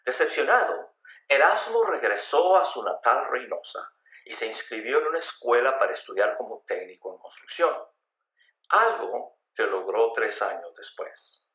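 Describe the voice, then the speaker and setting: A man reading a scripted story in a measured, dramatic storytelling way with emphatic phrasing. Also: mid-range voice, sing-song, sharp tone. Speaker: narrator of a radio story. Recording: roomy, echoey voice recording.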